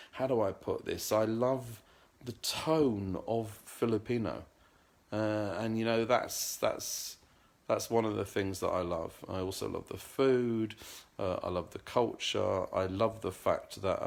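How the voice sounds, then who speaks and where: A man's voice: on the low side, creaky. A middle-aged man talking earnestly in a casual vlog monologue, often repeating words as he searches for the next one. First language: English. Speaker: vlogger.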